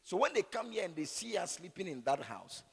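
Animated raspy Ghanaian male preacher in hall. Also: high voice, brisk. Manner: animated, rhetorical questions, repeats phrases for emphasis. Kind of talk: sermon with conversational, opinionated asides. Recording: echoey hall with long reverb.

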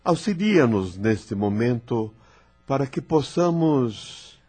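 Slow, husky, devout healer. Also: male voice, medium pitch, expressive, a little mellow, faint music behind. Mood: solemn. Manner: solemn, slow, prayerful. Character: devout male spiritist healer, calm and welcoming. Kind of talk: radio drama acting.

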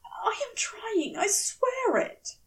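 A woman voicing an anxious, fussy gentleman who apologises and pleads politely in a fan fiction audiobook. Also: extremely high pitch, very animated, slow pace, far from the mic, a little hiss or hum, voice pushed up, heavily acted. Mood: anguished pleading. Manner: fretful, polite, flustered pleading.

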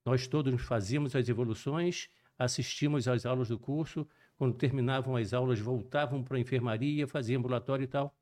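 An older man with a mixed Minas Gerais and Rio Brazilian accent, a cardiologist guest telling his story in a measured, detailed, professorial way. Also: mid-range voice, darkish tone. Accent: mixed Brazilian Portuguese, Minas Gerais and Rio de Janeiro. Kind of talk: relaxed storytelling interview.

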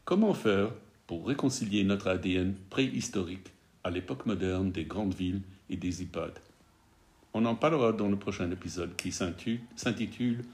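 A man in his sixties hosting a podcast in a humorous, self-mocking monologue, speaking a second language with small slips. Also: on the low side. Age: 60s. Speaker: podcast host. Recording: voice over loud guitar music. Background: loud guitar music.

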